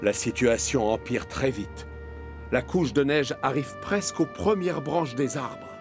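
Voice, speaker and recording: A man narrating a children's story from a script in an expressive storytelling manner. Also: mid-range voice, a little slow, over music.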